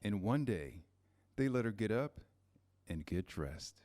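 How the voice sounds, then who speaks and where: A man narrating a scripted children's story in measured, pausing phrases. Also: lowish voice, expressive, a little mellow. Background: music, guitar.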